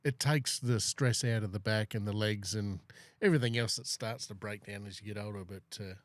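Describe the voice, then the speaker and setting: A man's voice: lowish voice, brisk, no echo. A middle-aged man hosting a casual podcast chat, talking in long rambling runs full of fillers. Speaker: podcast host.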